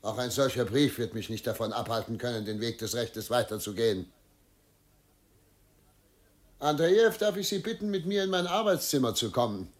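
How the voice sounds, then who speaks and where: An actor playing a principled, unbending governor in a radio drama, speaking formally and deliberately in measured phrases. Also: male voice, mid-range voice, expressive, natural voice. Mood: calm, resolute. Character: dignified, principled, unbending male provincial governor. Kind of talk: German radio drama acting.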